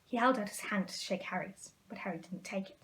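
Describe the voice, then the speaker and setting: A woman's voice: mid-range voice, brisk, fairly bright tone, a little hiss or hum. A woman reading a storybook aloud as a storyteller. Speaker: storyteller in character as a witch. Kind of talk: storybook read-aloud narration.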